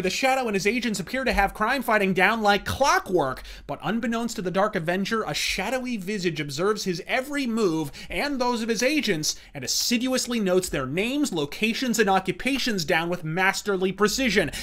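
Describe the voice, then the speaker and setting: A man hosts an opinionated review with a bright, hard-edged voice. His delivery is theatrical and emphatic, built on ornate, wordy sentences. He swears freely and brashly as he goes. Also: high voice. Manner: profane, brash. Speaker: host of a comics and pulp review series. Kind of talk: scripted opinionated review commentary.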